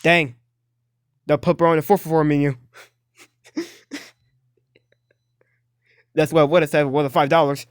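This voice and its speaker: A man's voice: on the high side, flat delivery, unhurried, long pauses. Male reaction host, casual and joking, slangy delivery with frequent exclamations.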